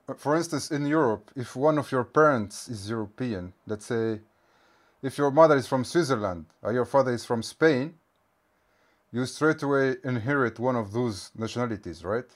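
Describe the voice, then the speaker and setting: A man hosting a casual interview podcast, friendly and quick to laugh, asking questions with small stumbles. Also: medium pitch. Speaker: podcast host.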